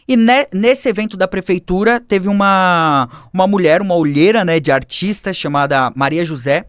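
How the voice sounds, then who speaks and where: A man telling his life story as a podcast guest, animated and acting out the people he quotes, on a studio mic. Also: high-pitched, normal speed, clean tone, no room sound. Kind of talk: personal storytelling in a podcast interview.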